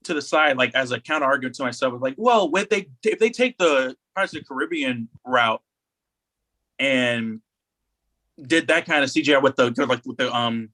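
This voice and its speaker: A man's voice: medium pitch, animated, moderate pace, sharp tone. A man co-hosting a podcast in casual chat, rambling and opinionated, often repeating his phrases. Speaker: podcast co-host.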